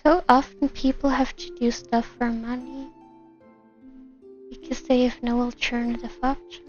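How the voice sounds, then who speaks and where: A woman's voice: fairly high pitch, little pitch movement, unhurried, highs cut off. A woman streamer giving casual, musing, reactive live commentary over gameplay. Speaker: VTuber game streamer. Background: soft game music.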